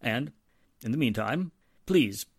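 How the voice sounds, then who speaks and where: A genteel, courteous older patriarch played by a man in an old radio drama. His medium-pitched voice rises and falls expressively, recorded dry and close. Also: expressive, slow pace, husky, no room sound, heavily acted. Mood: formal, regretful. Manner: formal, courteous, hesitant. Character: genteel, apologetic older male society patriarch. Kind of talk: 1940s radio drama acting.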